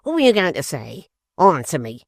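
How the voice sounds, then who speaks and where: A man's voice: a little high, huge pitch swings, gravelly, no room sound, clean studio sound, exaggerated voice. A man doing a haughty young apprentice. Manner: haughty, slow and theatrical. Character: pompous, sulky young male apprentice. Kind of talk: audiobook character voice.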